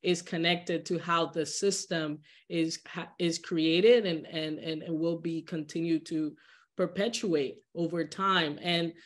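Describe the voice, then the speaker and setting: A woman's voice: lowish voice, steady tone, measured pace. A young woman from the Dominican Republic, a guest on a video call interview, speaking earnestly and often restarting words as she thinks. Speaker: guest, social entrepreneur. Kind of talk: candid interview conversation. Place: home living room.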